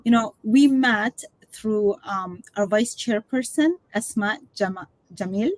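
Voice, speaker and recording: A woman's voice: medium pitch, measured pace, dry recording. A woman hosting a virtual interview, asking questions in a friendly way with lots of ums. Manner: friendly, filler-heavy questions. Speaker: interview host. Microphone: video call.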